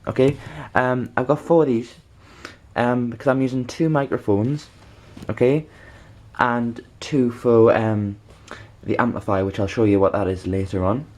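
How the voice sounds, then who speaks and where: A man presenting an informal how-to demonstration, halting and rambling, often checking in with the listener. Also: mid-range voice, steady tone, a little mellow. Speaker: video tutorial presenter.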